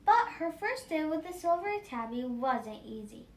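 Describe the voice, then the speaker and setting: A woman reading a children's story aloud in an expressive, animated manner. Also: a little slow. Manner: animated, acts out dialogue. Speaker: storybook reader for a children's book club. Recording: roomy echo, faint rumbling noise.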